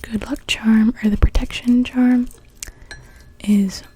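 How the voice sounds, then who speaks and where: A young woman playing a gentle, welcoming witch in a soft, soothing whispered ASMR roleplay. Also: fairly high pitch, flat delivery, slow, slight background noise. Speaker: ASMR performer.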